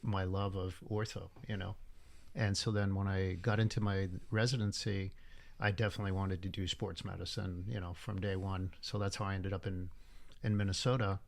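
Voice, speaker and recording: A man's voice: deep voice, normal speed, vocal fry. A man in his sixties, a surgeon from Cuba raised in Miami, casually telling stories in an interview. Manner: relaxed storytelling with many fillers. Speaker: orthopedic surgeon guest. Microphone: headphones with studio mic.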